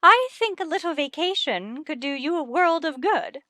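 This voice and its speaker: A woman's voice: sits high, expressive, moderate pace, pushed and bright, no room sound, studio quality. An actress playing a gracious, sociable middle-aged wife and mother in a 1950s radio sitcom, speaking in a genteel manner. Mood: gracious, chatty.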